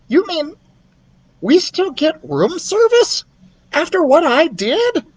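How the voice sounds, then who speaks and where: A man voicing an eager, impulsive young male mouse in an excitable, earnest storybook read-aloud. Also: very high voice, slow, pitched above the performer's own voice, exaggerated voice. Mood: amazed.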